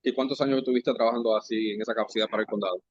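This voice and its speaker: A man hosting a podcast interview, asking questions in a halting, conversational way. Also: on the low side, steady tone, no echo. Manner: conversational, halting, full of fillers and restarts. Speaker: podcast host. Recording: dry, slightly dull podcast audio.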